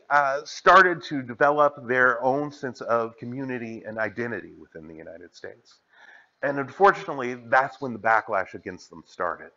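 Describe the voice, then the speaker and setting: Bright-toned man giving a museum tour. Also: fairly low pitch, highs cut off. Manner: gestures with both hands while explaining, frequent "uh". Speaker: museum executive director giving a guided tour. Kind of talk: spoken gallery tour lecture. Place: indoor museum gallery. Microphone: camera a few steps away.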